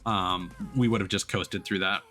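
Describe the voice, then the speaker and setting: A man's voice: low-pitched, fairly even intonation, normal speed, bright, forceful tone, soft background music. A man streaming a game with casual, informal, sweary live commentary. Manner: informal, sweary, self-critical. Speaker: streamer, VTuber.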